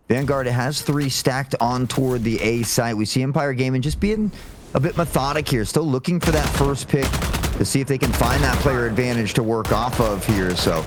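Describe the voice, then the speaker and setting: A male esports play-by-play caster with a mid-pitched voice. He calls the match in an orderly, measured way, with clear noise and clicking behind him. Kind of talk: live esports match commentary.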